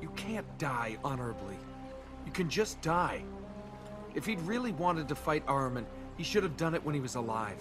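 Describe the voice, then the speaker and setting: A young man voicing a cocky, wisecracking rogue adventurer with a sarcastic, wry delivery, over loud game music. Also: fairly high pitch, very animated, moderate pace.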